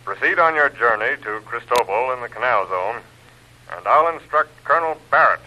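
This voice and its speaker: An actor playing a stern, authoritative intelligence chief giving formal instructions in an old-time radio adventure. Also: male voice, medium pitch, lively intonation, moderate pace, sharp tone, dull audio. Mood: stern, concerned.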